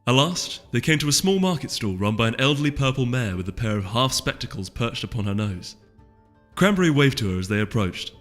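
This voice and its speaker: A man's voice: medium pitch, sing-song, brisk, a bit bright. A man narrates fiction from a script as a storyteller. His voice carries a long reverb, with faint music underneath.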